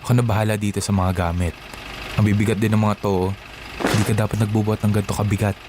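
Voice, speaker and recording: A man's voice: lowish voice, flat delivery, fast talker, background noise, close to an everyday voice. A Filipino man playing a helpful, considerate office boss in an audio drama.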